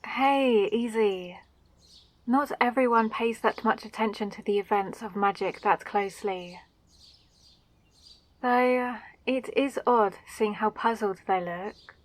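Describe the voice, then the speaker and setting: Gentle, unhurried woman acting a fantasy mage. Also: on the high side, dry recording. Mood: gentle concern. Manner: polite, soft-spoken, soothing, old-fashioned phrasing. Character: gentle, curious young female fantasy mage. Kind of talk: roleplay audio drama addressed to the listener.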